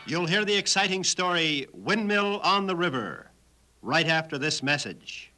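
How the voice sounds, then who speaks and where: High-voiced American radio announcer, male. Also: expressive, unhurried, pushed and bright, low-quality recording. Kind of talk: rousing 1950s radio show announcing. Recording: old-time radio broadcast. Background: music.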